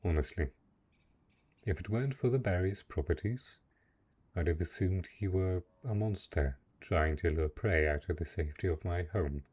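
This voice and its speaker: Man playing a deep, husky, brooding dark knight. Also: moderate pace. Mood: brooding, jealous. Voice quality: husky, low voice. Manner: hesitant, earnest, formal phrasing. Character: lonely, possessive dark knight, lord of a forest castle. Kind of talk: dark fantasy romance audio roleplay.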